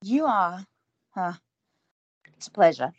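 Actress as starstruck young interviewer, babbling apologies, dry close sound. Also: female voice, on the low side, sing-song, takes it slowly, no room sound, muffled recording, full character voice. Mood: flustered. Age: young adult.

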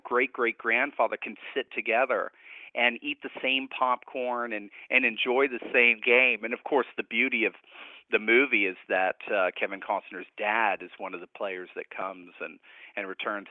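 Heard over a thin, phone-like line with no room echo, a man talks as a scholarly radio guest. He explains his ideas conversationally, in a reflective and academic manner. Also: medium pitch. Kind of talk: conversational analysis in a radio interview. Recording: narrow, tinny phone-like line.

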